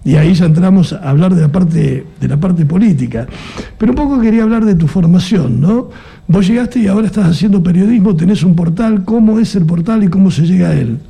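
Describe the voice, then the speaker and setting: Middle-aged Argentine male interviewer, husky and gravelly, fairly high-pitched, musing conversational style. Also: expressive, brisk, mellow. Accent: Argentine Spanish. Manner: chatty, musing, tags questions with "¿no?".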